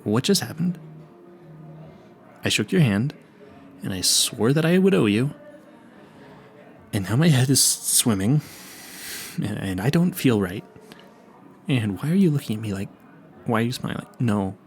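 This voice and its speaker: A man's voice: medium pitch, expressive, measured pace, long pauses. A man playing a clueless, earnest vampire hunter in an audio roleplay, stammering and rambling in a politely formal, pleading way. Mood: alarmed, dazed. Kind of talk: intimate audio roleplay addressed to the listener.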